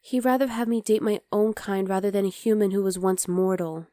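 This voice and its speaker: A woman playing a young demon girlfriend in an ASMR roleplay, sweet but exasperated and rebellious, speaking intimately and softly to the listener as her partner. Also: medium pitch, fairly even intonation, brisk, no room sound, studio quality, close to an everyday voice. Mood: resentful, confiding. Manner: intimate, soft, often dropping to a whisper.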